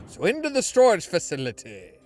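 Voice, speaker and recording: A man's voice: sits high, huge pitch swings, a little fast, a little mellow, soft background music. A man giving casual, slangy, sweary commentary while playing a video game. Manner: profane, slangy.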